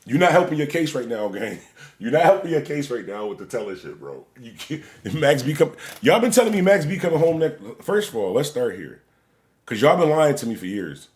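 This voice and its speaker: Man in his forties, lively swinging pitch, loose rambling stream chat, repeats himself. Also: brisk. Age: 40s. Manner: "bro" and "gang" at line ends. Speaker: stream host. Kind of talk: casual live-stream commentary.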